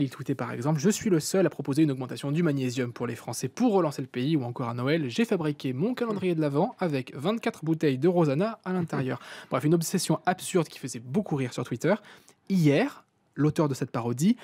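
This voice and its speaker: Young french guy doing a radio web news bit, kinda raspy, dry studio mic. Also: on the high side, a little mellow, dry recording. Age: young adult. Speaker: radio social media columnist. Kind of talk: light radio news chronicle. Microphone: studio mic with headset monitoring.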